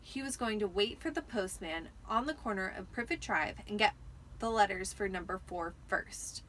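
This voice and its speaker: A woman's voice: medium pitch, lively intonation, normal speed, fairly bright tone, slight background noise, rough recording. A tipsy woman giving a dramatic amateur audiobook narration. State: tipsy from wine.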